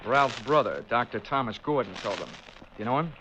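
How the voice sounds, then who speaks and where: An actor playing a wry, hard-boiled insurance investigator in a 1940s radio detective drama, crisp and sardonic. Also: male voice, mid-range voice, moderate pace, slight background noise. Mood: cool, sardonic. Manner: deadpan, sardonic, crisp wisecracks. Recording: dull-sounding 1940s radio recording.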